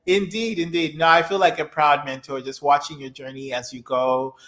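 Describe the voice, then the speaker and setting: A middle-aged man chatting casually as a livestream host, animated, encouraging and quick to exclaim, on a video call. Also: fairly high pitch. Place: indoor room, video call. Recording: echoey room on a video call.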